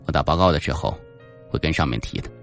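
A man's voice: very deep voice, lively intonation, fast, creaky, faint music behind, voice pushed down, exaggerated voice. A man voicing a cold, probing police team leader with an insinuating, menacing manner in an audiobook. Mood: businesslike. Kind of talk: audiobook character dialogue.

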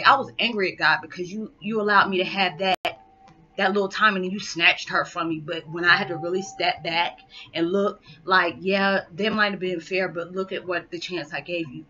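A woman in middle age speaks frankly about her life, emphatic and animated. Her voice is bright and forceful, and the sound is a little dull and lo-fi from a built-in webcam mic in a small living room. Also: lowish voice. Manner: candid, emphatic, animated hand gestures. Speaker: vlogger. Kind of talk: personal testimony vlog.

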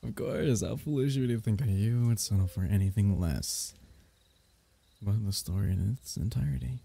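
A man acts out a royal diviner from a fantasy bedtime roleplay, gentle and flirtatious. His voice is low and very dark, with a gravelly creak. He speaks intimately, in courtly, teasing phrases. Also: normal speed. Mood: fond storytelling. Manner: tender, teasing, courtly old-fashioned phrasing, soft chuckles.

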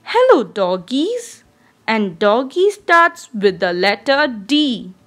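A woman reading a children's storybook aloud in an expressive, deliberate, child-directed way. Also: on the high side. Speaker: storybook reader.

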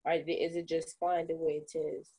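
A teenage girl hosting an interview on a video call, asking questions calmly. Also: sits low, normal speed, husky. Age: teens. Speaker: guest host. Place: small room indoors. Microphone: phone on video call.

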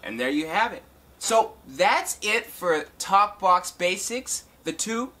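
A man's voice: high voice, lively intonation, slow pace, bright, forceful tone, faint music behind. A man hosting an instructional video, talking casually and informally to his viewers as he explains a hands-on process. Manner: informal, addresses viewers as guys and folks. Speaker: talk box tutorial host, musician.

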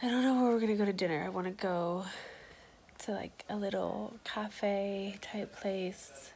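A woman's voice: medium pitch, fairly even intonation, measured pace, a little mellow. A young American woman in a casual, slangy video diary, talking into a phone at arm's length. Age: young adult. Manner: slangy, chatty. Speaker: travel vlogger.

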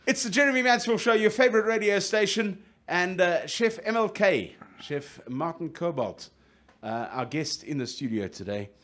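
A middle-aged man with a South African accent runs a radio interview. He is jokey and lively and stumbles through restarts. His voice sits a bit high for a man. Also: normal speed, hardly any pauses. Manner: jokey, lively, restarts a lot, plugs the station. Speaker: radio host.

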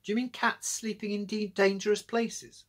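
A man's voice: high voice, little pitch movement, normal speed, a bit bright, voice pushed up. A man voicing an earnest, cheeky young girl detective in a storybook. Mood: puzzled.

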